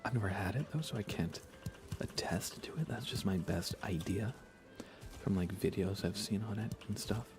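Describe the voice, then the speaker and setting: Soft-spoken, low-voiced man, ASMR chat. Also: normal speed. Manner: soft-spoken, often dropping into a whisper. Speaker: livestreamer playing a video game. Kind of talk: casual ASMR livestream chat.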